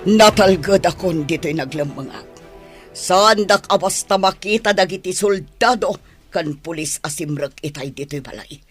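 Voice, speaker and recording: A man's voice: high-pitched, unhurried, fairly bright tone, soft background music. A man with an Ilocano accent playing a nervous fugitive hiding alone in a radio drama, hesitant and stammering. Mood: uneasy musing. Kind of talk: Filipino radio drama acting.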